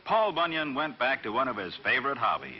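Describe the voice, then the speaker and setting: A man narrating a scripted children's folk tale in a dramatic, expressive storytelling manner. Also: medium pitch, fairly quick. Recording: dull vintage recording, faint music behind.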